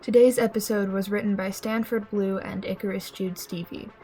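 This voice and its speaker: A woman's voice: medium pitch, steady tone, moderate pace, mellow, faint music behind, low-quality recording. A woman announcer giving a scripted credits read for an audio show.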